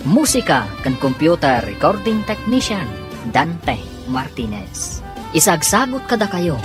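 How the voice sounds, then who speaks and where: A woman announcing and narrating a radio drama in a formal, scripted manner. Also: sits low. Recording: radio broadcast over theme music. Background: theme music.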